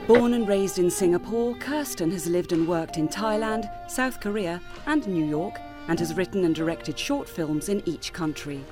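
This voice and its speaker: A woman's voice: on the low side, expressive, normal speed, hardly any pauses, over music. A woman reading scripted narration for a news feature.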